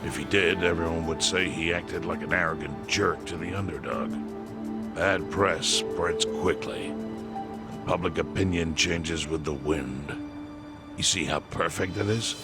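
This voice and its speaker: An actor playing a calm, calculating older chairman in an English video game dub, gravelly and measured, laying things out with dry bluntness. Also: sits low, over loud music. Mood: calm persuasion.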